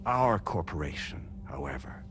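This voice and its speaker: A man's voice: low voice, big pitch swings, moderate pace, background noise. A man playing an authoritative corporate president in a video game, speaking with formal, courteous, oratorical polish. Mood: courteous, assured. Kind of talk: video game cutscene acting.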